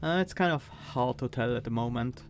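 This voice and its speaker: A man with a Swiss accent, streaming a card game and thinking aloud, reading cards and weighing choices with pauses and fillers. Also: medium pitch, faint music behind. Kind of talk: casual gameplay commentary.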